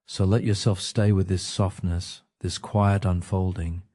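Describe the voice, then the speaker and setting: Low soft-toned guy doing a sleep meditation, really calm n gentle, lots of pauses. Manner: calm, gentle, soothing, with short phrases and pauses. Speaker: meditation guide.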